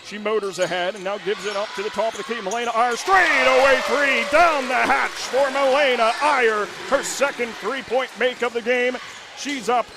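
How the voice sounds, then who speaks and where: Man, high voice, radio play-by-play announcer, excited shouted calls, high school gym, loud gym noise behind. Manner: rapid, excited calls that rise to shouts on big plays. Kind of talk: live basketball play-by-play.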